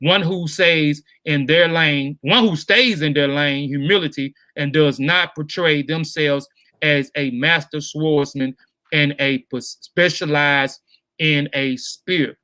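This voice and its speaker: A man hosting a livestream interview, reading measured prepared text and then talking casually to his audience. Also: fairly high pitch, steady tone, sharp tone, no room sound. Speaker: host.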